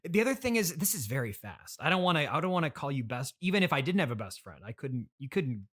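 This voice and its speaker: A man hosting a casual comedy interview, speaking in a halting, hesitant way as he gently reasons with his guest. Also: fairly high pitch, big pitch swings. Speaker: podcast host.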